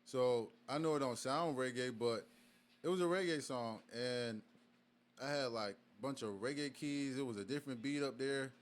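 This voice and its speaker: A young man sits in on a casual podcast chat as a guest artist. He talks in a laid-back, halting way, full of fillers and restarts, in a voice of middling pitch. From Virginia. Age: young adult. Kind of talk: casual interview.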